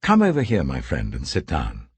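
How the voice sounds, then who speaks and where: A man acts out an earnest British landowner from a scripture story, speaking with public authority. His voice is very husky and swings widely in pitch, declamatory yet deliberate. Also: wildly swooping pitch, no room sound, full character voice. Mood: earnest, businesslike.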